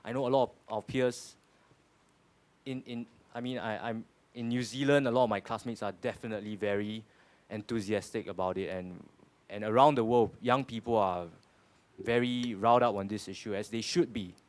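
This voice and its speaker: A Singaporean man in his twenties answers questions earnestly, often stumbling and repeating words. His voice sits a little low for a man. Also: steady tone, moderate pace. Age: 20s. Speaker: panel speaker. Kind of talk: panel Q&A answers.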